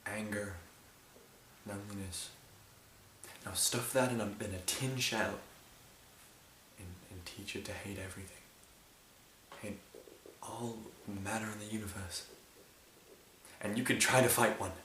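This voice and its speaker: Man acting a brooding, forceful, homeless time-traveller. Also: lowish voice. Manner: halting, brooding, building to anger. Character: brooding, grieving, angry time-travelling alien without a home planet. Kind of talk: improvised dramatic monologue in a fan film. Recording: roomy sound with faint hiss.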